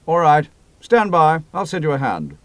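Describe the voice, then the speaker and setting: A man voicing a helpful male naval navigating officer who calls out briskly. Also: a little high, sing-song, no room sound, voice pushed up. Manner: brisk, calling out.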